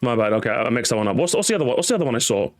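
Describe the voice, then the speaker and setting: Animated fast-talking man in his thirties. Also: mid-range voice, music in the background. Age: 30s. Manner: animated, swearing, quick to laugh. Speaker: streamer host. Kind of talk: casual chat on a livestream.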